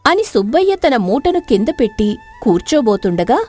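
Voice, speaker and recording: A woman's voice: on the high side, sing-song, moderate pace, darkish tone. A woman storyteller reading a scripted moral story as narrator. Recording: dry studio narration over music.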